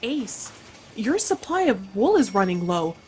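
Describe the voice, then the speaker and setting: A woman's voice: medium pitch, sing-song, unhurried, a little mellow, a little hiss or hum. A woman voicing a devoted shapeshifting spaceship companion in a sci-fi satire, languid, silky and coaxing. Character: soothing, devoted female shapeshifting ship companion. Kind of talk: comic sci-fi audio drama acting.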